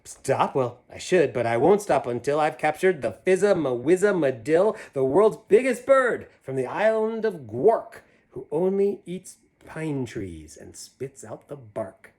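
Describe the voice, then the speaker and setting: A man reads a rhyming children's book aloud with a lot of melodic swing in his fairly high voice. His delivery is playful and theatrical, and he slips into casual asides to whoever is listening. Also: big pitch swings, unhurried, hardly any pauses, darkish tone. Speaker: storybook reader. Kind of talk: animated read-aloud of a rhyming children's storybook with chatty asides.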